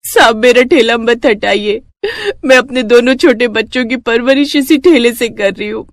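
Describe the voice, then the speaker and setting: Poor street-vendor mother, gentle and patient, higher voice, cartoon story female performer. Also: normal speed, no room sound, studio quality, close to an everyday voice. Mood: tearful pleading. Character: gentle, caring poor widowed mother running a food cart.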